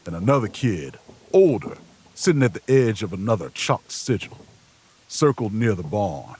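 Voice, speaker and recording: A man's voice: fairly low pitch, very animated, moderate pace, darkish tone, husky. A man acting in an audio drama as a weary, protective, middle-aged traveler, speaking in a grave, folksy storytelling way. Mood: haunted, grave. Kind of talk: audio drama acting and first-person narration.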